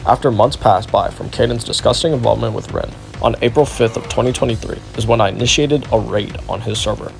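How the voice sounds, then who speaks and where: A man's voice: medium pitch, quick pace, vocal fry, hardly any pauses, soft background music. A man narrating a scripted exposé video as its commentator.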